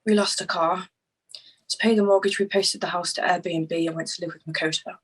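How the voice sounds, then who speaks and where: An actress in a screenplay table read playing an anxious, impatient woman whose partner was abducted, sharp-tongued and exasperated. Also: on the low side, fairly even intonation, fairly quick, breathy edge, low-quality recording. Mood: bitter, weary.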